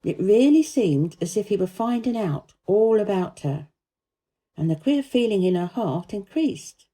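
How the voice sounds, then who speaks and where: A woman narrating a children's story aloud in an expressive, careful storytelling manner. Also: medium pitch, measured pace. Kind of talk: scripted children's audiobook narration.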